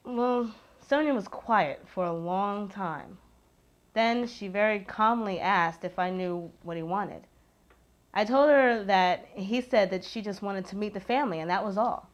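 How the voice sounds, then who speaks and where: A woman's voice: mid-range voice, unhurried, lightly acted. A woman playing a candid, self-assured girlfriend, speaking frankly in a dramatised documentary interview. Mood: puzzled, defensive.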